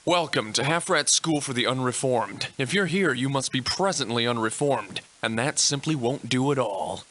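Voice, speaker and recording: A man's voice: mid-range voice, expressive, moderate pace, fairly bright tone, lightly acted. A man voicing a pompous, eccentric Victorian-style instructor in a video game, cheerfully insulting and florid in his old-fashioned phrasing. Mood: brisk, instructive. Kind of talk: theatrical video game tutorial narration.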